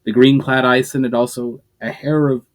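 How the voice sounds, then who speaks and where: A man narrating a fantasy story in a dramatic reading, his voice sitting at an ordinary middle pitch. He lets each sentence climb and fall, and sometimes stumbles and corrects himself as he goes. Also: little pitch movement, moderate pace. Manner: pitch rising and falling through each sentence, some slips and restarts.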